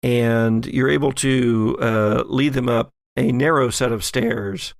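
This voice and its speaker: Man, game master, horror tabletop narration, slow halting delivery, dry sound. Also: medium pitch, steady tone, vocal fry, dry recording.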